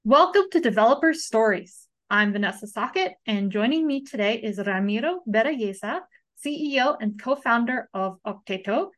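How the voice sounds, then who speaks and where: A woman hosting an interview podcast, upbeat and quick to laugh. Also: a little high, animated. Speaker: podcast host.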